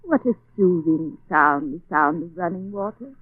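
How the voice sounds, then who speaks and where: An actress playing a coldly calculating murderous wife, formal and icily polite, in 1940s radio style. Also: female voice, lowish voice, expressive, breathy edge, no room sound. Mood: dreamily menacing. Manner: formal, measured, icy politeness. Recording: old phone-like 1940s radio, boxy room.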